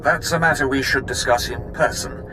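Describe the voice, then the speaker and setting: A man's voice: medium pitch, normal speed, noisy background, natural voice. Man as formal, deferential military officer. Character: deferential, brisk Imperial fleet officer man. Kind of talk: video game voice acting.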